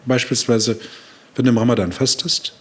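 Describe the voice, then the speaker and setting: A man's voice: mid-range voice, steady tone, moderate pace, a bit bright. A man in his sixties, born in Turkey, a psychology professor explaining at length in an interview, acting out quoted dialogue with frequent fillers.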